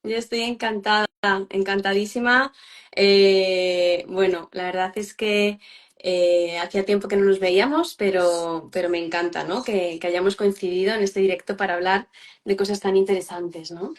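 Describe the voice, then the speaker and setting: A woman's voice: medium pitch, steady tone, moderate pace. A woman psychologist hosting a live-streamed interview, talking with many fillers and restarts.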